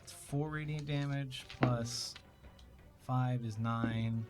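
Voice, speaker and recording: A man's voice: medium pitch, fairly even intonation, slow pace. A man from Canada playing a tabletop game, chatting casually and thinking aloud as he works things out. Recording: music behind the voice. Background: background music.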